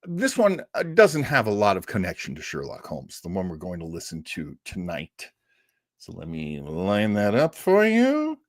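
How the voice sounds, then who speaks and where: A man's voice: low-pitched, huge pitch swings, moderate pace, no room sound, studio quality. A man hosting an informal, unscripted show on film history, rambling along as an enthusiastic storyteller. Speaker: host of an old radio and film show.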